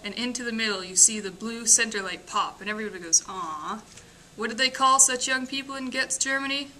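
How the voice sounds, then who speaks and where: Bright-voiced woman reading aloud. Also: a little high, a little fast, slight background noise, low-quality recording. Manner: expressive, building to emphatic passages. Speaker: student reader. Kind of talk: amateur dramatic reading of a novel.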